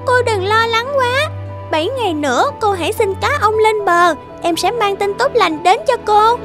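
A woman's voice: very high-pitched, animated, normal speed, over music. A woman voicing a small, loyal talking dove messenger in a cartoon fairy tale, earnest and respectful. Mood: earnest, reassuring.

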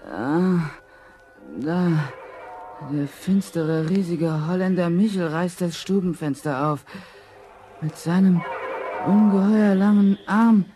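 An actor playing an anxious, easily awed young charcoal burner in a storybook radio play, speaking hesitantly. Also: male voice, sits high, takes it slowly, mellow. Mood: awed, dreamy. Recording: muffled old vinyl, slight noise.

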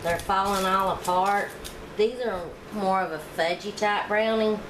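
A woman's voice: medium pitch, measured pace. Woman, home cooking vlogger, chatty and homey, roomy kitchen sound, noisy background. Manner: chatty, homey, talks to viewers while working. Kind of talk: casual cooking demonstration. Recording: echoey kitchen with background noise.

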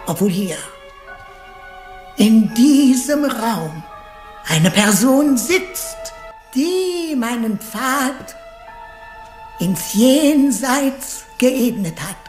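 Female, eccentric elderly séance medium, theatrical delivery in a roomy echo with music beneath, radio crime play. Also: medium pitch. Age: older. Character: eccentric old lady spiritualist channelling the dead.

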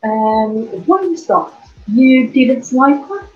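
Young woman playing dismissive county attorney, high-pitched, hesitant, questioning, student stage play, muffled recording. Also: animated, slow. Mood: condescending. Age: young adult. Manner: hesitant delivery with fillers. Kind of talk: amateur student drama performance. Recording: muffled band-limited recording, music behind.

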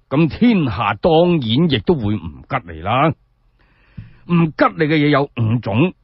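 A man's voice: mid-range voice, breathy edge, no room sound, lo-fi sound, exaggerated voice. A man voicing a stern, dignified elderly sage in a radio storytelling, speaking in solemn, admonishing tones.

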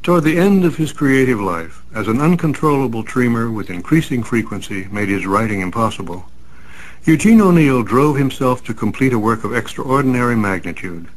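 A man reading a scripted commentary in a formal, literary, measured manner. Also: mid-range voice, a little hiss or hum, low-quality recording. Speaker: commentator, series artistic director.

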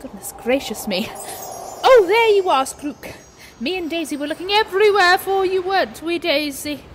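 Casting: bawdy pantomime dame spirit, high-pitched, cheeky, gushing, with background ambience noise. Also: female voice, lively intonation, moderate pace. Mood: teasing. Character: bawdy, teasing female pantomime dame spirit of Christmas Present. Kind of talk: pantomime audience banter.

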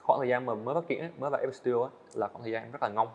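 A man's voice: mid-range voice, steady tone, a little mellow, muffled recording. A young man, a student animator, answering interview questions earnestly in casual speech, often restarting his phrases. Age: young adult. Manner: earnest, fast-talking, frequent small restarts. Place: indoor studio set.

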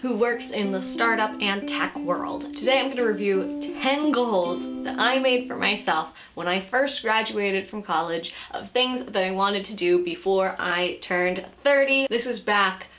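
A woman around thirty chatting straight to camera at home, loose and unscripted. She pokes fun at herself and laughs easily between thoughts. The sound has the feel of a camera mic in a bright room. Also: mid-range voice, unhurried, few pauses, music in the background. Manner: chatty, self-deprecating, laughs often. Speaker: vlogger and creative entrepreneur. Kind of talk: casual unscripted vlog talk. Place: sunlit room in front of glass doors, indoors.

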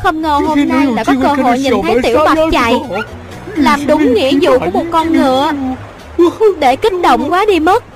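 A woman dubbing characters in an animated children's cartoon. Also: high voice, moderate pace, lightly acted. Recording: loud music behind. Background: loud music.

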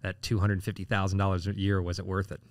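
A man's voice: low voice, flat delivery, fast, no room sound. A man in his late forties, a city council candidate answering interview questions casually, with lots of fillers and restarts. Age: 40s. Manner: rambling, frequent fillers and restarts.

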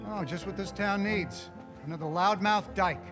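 A man's voice: high-pitched, normal speed, natural voice. A man playing a hostile, bigoted older townsman in a video game drama, scolding and contemptuous. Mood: scornful, angry. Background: music.

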